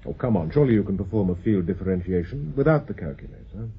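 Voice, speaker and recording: A British actor playing an exacting, coolly assured senior professor with a measured, donnish manner, in a radio drama. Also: male voice, fairly low pitch, animated, a little hiss or hum. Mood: calm authority. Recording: dull lo-fi radio drama recording.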